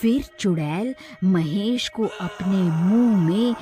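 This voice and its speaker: Woman narrating a scary cartoon story, slow, music underneath. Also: medium pitch, dark tone.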